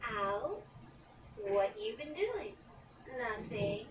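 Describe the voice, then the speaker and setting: A woman's voice: on the high side, big pitch swings, drawn-out words, heavily acted. An actress playing a wistful, dreamy young woman who tells her own story in an intimate, girlish way in an audio drama. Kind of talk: audio drama acting with first-person narration. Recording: roomy, distant, noisy copy of audio drama.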